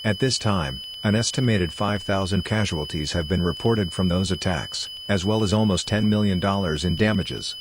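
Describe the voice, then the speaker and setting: A man playing a solemn government emergency broadcast announcer, formal and measured in delivery. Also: low-pitched, lightly acted. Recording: noisy with hum behind.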